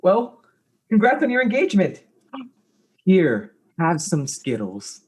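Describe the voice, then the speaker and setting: A man's voice: sits high, wildly swooping pitch, takes it slowly, darkish tone, husky, heavily acted. A man playing a naive, affectionate, goofy space butler with a hesitant, earnest manner in an audio comedy. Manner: hesitant, earnest, dim but sweet. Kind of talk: comedic audio drama acting.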